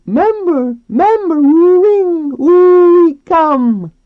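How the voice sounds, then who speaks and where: A man voicing an eager, childlike female dolphin in an audiobook, with a squeaky falsetto and short bursts of broken, clipped speech. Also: lively intonation, extremely slow, no echo, narrow, tinny audio, exaggerated voice. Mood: excited, hopeful. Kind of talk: audiobook character voice.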